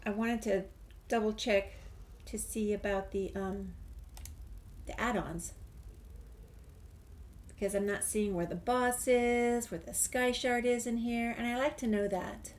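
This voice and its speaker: Casting: female gameplay host, moderately high voice, casual wandering think-aloud commentary, faint background noise on the recording. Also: steady tone, measured pace, pauses often. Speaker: gaming video host.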